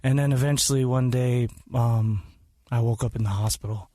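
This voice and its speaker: A man born in Hawaii and raised in Utah talks casually as a guest, unpolished and candid, stopping to correct himself. His voice sits at an ordinary middle pitch. The sound is dry and close. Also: steady tone, normal speed, mellow, no room sound. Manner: plain-spoken, with frequent ums and restarts. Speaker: podcast guest. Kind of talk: casual interview talk.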